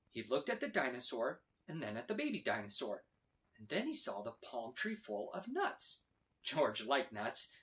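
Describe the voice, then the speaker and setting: A man reading a children's storybook aloud in a dramatic, expressive way with emphatic delivery. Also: mid-range voice. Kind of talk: animated scripted storybook read-aloud.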